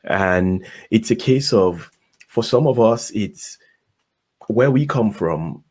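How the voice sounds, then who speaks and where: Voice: mid-range voice, expressive, slow, darkish tone, breathy edge. An interview host who asks curious questions in long, stacked sentences, with many short listening sounds. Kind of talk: casual interview conversation.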